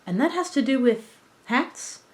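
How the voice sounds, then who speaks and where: A woman's voice: sits high, expressive, unhurried, pitched above the performer's own voice. A woman playing a practical, mildly exasperated female android partner to a cartoon detective. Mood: skeptical.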